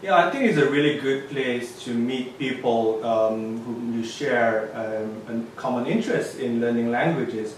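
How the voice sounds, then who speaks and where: A man from Indonesia who grew up speaking Indonesian, talking casually and often pausing on "uh" and "um." He is heard from a distance in a strongly echoing room. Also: mid-range voice, a little hiss or hum. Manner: hesitant, many "uh" and "um" fillers. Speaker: guest, language learner. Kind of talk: casual interview chat. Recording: echoey room, mic far off.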